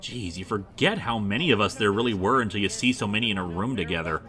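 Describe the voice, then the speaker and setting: A man's voice: fairly low pitch, lively intonation, fast talker, pushed and bright. A man with a wry, sarcastic, conversational delivery, acting in a scripted comedy web drama.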